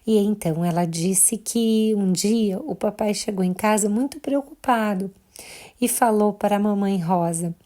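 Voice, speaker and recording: Female children's storyteller, Brazilian accent, mellow timbre, expressive bedtime narration aimed straight at little listeners. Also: medium pitch, unhurried. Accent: Brazilian Portuguese.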